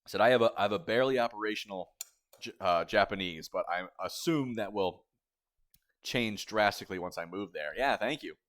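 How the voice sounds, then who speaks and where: A man's voice: fairly low pitch, brisk, a bit bright. A young man in his twenties chatting casually on a live cooking stream, rambling and reading viewers' messages aloud. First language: English. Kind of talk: casual livestream chat.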